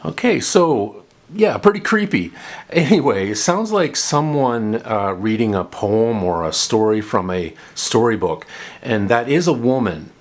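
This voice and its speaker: A man's voice: mid-range voice, animated, unhurried. A man hosting a gadget review, talking casually and unscripted, explaining things and often backtracking or repeating himself. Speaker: host of a gadget review channel. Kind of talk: casual, unscripted product demonstration.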